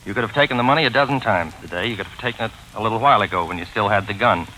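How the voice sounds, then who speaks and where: An actor playing a wry, pompous gentleman sleuth in a 1940s radio drama, with formal, genteel diction. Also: on the low side, racing, slight background noise, low-quality recording. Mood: magnanimous. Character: wry, pompous gentleman sleuth narrating his own caper. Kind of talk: 1940s radio drama acting, first-person narration. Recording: 1940s radio recording with music behind.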